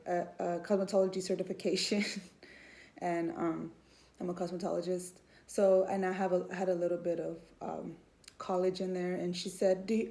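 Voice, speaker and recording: A woman tells her own faith story in a personal testimony. She speaks earnestly, pausing often with ums as she gathers her thoughts. Her voice is warm and mellow and sits slightly low. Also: gravelly. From Houston, Texas. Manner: earnest, hesitant with many ums, faith-filled. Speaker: student and mother.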